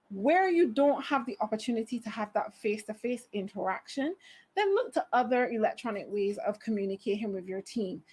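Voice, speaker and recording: A woman's voice: on the high side, a little mellow. A woman with a Barbadian accent giving an online conference talk on HR, earnest and emphatic, often addressing her audience directly. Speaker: HR speaker.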